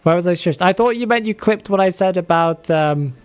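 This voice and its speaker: A man's voice: high voice, fairly quick, smooth. A man in his twenties chatting casually on a live game stream, reading chat and laughing often. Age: 20s.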